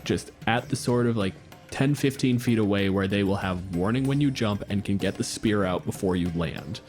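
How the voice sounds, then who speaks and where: A man narrating as game master of a tabletop game, relaxed and chatty, full of little fillers. His voice is on the low side. Faint music plays underneath. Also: moderate pace. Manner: chatty, laid-back with frequent fillers.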